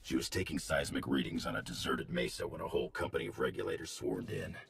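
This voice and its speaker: An actor playing a stern, loyal ex-mercenary officer in a video game, with a very gravelly voice and terse military manner. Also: male voice, a bass voice, animated, fast talker, distant mic, full character voice. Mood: grim, businesslike. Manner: terse, clipped, military reporting. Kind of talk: video game cutscene acting.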